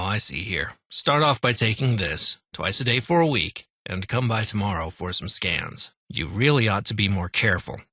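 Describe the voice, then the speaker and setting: An actor playing a courteous, fussy military clinic doctor in a post-apocalyptic video game, with polite, formal bedside patter. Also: male voice, fairly low pitch, creaky, a bit bright, breathy edge, dry recording, studio quality, exaggerated voice. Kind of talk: scripted video game dialogue.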